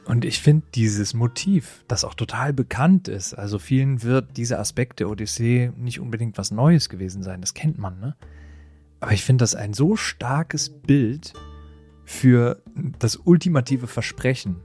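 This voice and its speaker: A man's voice: mid-range voice, moderate pace, a little mellow, husky, soft background music. A man co-hosting a podcast, telling stories in a casual, reflective and explanatory way with colloquial asides. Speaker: podcast co-host. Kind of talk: casual conversational podcast storytelling.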